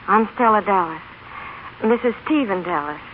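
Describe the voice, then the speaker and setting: Actress doing awkward plain-talking mom, stammers a lot, self-sacrificing, old radio drama, really tinny sound. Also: female voice, mid-range voice, lively intonation, a little hiss or hum, lightly acted. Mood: nervous, hesitant. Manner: halting, stammering, ungrammatical. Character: plain-spoken, awkward, self-sacrificing working-class mother, pleading earnestly. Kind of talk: vintage radio drama acting. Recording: tinny band-limited old radio recording.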